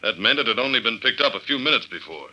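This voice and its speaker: Casting: tough, wry radio sleuth, very bright forceful voice, clipped hard-boiled delivery, vintage radio sound. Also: male voice, on the low side, very animated, fast talker, full character voice, poor audio. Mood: confident, explaining. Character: tough, wry male detective agent narrating his own case. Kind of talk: old-time radio drama acting, hard-boiled first-person narration. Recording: vintage radio drama recording.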